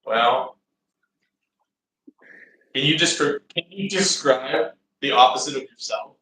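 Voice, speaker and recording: A man from Ontario, Canada, a guest on a live podcast, answering with deadpan witty one-liners. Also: medium pitch, slow, recorded from a distance, slight background noise. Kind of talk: casual live-stream chat. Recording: roomy sound from off the mic.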